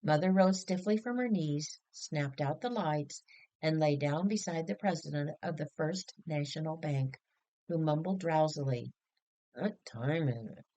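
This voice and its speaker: A woman reading a story aloud as scripted narration, in an expressive storytelling manner. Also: sits low, moderate pace, poor audio. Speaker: audiobook reader.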